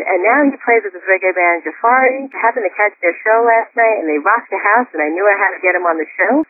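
A woman's voice: lowish voice, breathy edge. Bubbly woman host on a tinny line. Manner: bubbly, enthusiastic, giggly. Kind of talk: casual interview chat. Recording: tinny phone-line audio.

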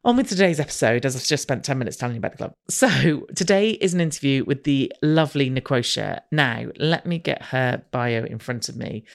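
A woman's voice: very deep voice, lively intonation, a little fast, creaky, hardly any pauses. Chatty upbeat woman podcast host. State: slightly croaky voice. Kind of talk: casual podcast monologue and interview.

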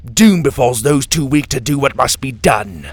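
A man voicing a ruthless male warlord in a storybook, declaiming loudly and imperiously. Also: mid-range voice, animated, moderate pace, natural voice. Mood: imperious, scornful. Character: ruthless, grandiose male rebel warlord commanding armies.

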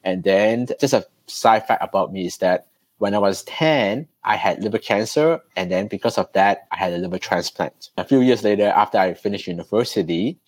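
A man's voice: low voice, dry recording. A man, chatting loosely as a podcast guest. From Hong Kong, raised in Canada. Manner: relaxed, loose, with fillers and restarts. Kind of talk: conversational storytelling.

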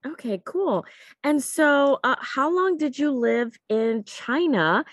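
A woman with a fairly high voice hosts a conversational podcast interview. She talks informally and chattily, with plenty of affirmation. The sound is very dry, with little room around it. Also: expressive, slow pace, no echo.

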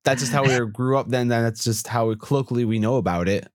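Congested man, dry mid-pitched voice. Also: fast, no room sound. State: congested from allergies. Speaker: podcast co-host. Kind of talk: casual co-hosted discussion.

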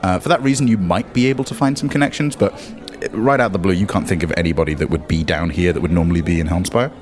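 A man's voice: sits low, fast. A man acting as game master, narrating and improvising in a playful, conversational way. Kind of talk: improvised tabletop game narration, casual banter.

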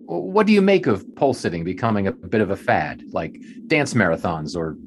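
An actor playing a skeptical, uneasy 1920s sports writer in an audio drama, asking questions in a halting, wry way. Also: male voice, deep voice, very animated, background noise. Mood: curious, wry.

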